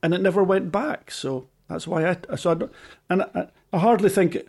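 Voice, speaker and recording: A man's voice: high voice, normal speed, vocal fry, a little mellow. A Scottish man, a former team boss, chatting animatedly with many stammering restarts. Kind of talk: casual chat.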